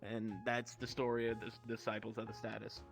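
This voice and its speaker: A young man casually telling rambling stories to friends in a slangy, sweary, animated way. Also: mid-range voice, brisk, creaky, faint music behind. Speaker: show host telling stories.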